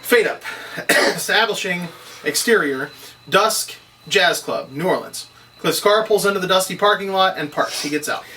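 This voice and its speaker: Bright, higher-pitched man reading stage directions. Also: moderate pace. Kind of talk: scripted screenplay narration at a table read. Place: indoor table read, recorded on camera. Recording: distant mic, faint room noise.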